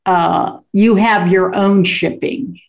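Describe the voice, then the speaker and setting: Gushing, slow-talking woman show host. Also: mid-range voice, steady tone, vocal fry. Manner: gushing, enthusiastic, rambling. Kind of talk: casual interview chat.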